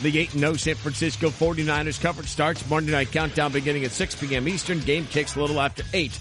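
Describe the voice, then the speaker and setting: A man anchoring a radio sports newscast with a brisk, crisp read. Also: fairly high pitch, steady tone, music in the background.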